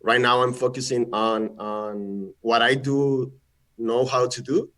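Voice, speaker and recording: Male guest presenter, Spanish speaker, average pitch, casual and halting on a call mic. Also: steady tone, a bit bright, rough recording. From Mexico. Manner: friendly, halting, often repeats words. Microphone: video call.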